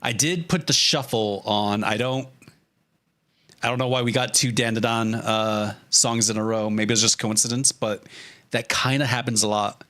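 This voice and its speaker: A man streams casually in a bright, forward voice at a medium pitch. He talks off the cuff like an artist chatting while he draws, joking at his own expense and swearing freely. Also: fairly even intonation, normal speed. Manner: self-deprecating, joking, casually profane. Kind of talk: casual livestream chat while drawing.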